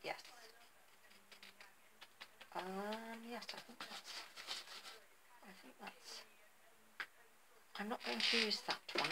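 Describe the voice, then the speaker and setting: A woman's voice: medium pitch, gravelly, very noisy. A middle-aged woman giving a casual craft tutorial, thinking aloud with many ums while she paints at a table, recorded on a phone. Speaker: artist demonstrating garden ornament painting. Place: indoor work table.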